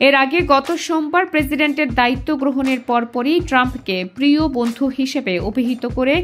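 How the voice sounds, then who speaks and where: A woman news presenter with a Bangladeshi accent speaks in a high voice. Her delivery is formal and measured, clearly read from a script. Music plays underneath her narration. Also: fairly even intonation, fairly bright tone.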